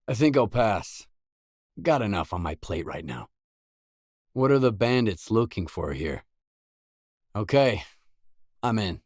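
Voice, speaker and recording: An actor playing a weary, laconic stalker in a video game, a hardened loner with a terse, guarded, deadpan delivery. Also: male voice, fairly low pitch, a little slow, long pauses, no room sound, clean studio sound. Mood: curt, dry. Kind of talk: isolated game dialogue lines.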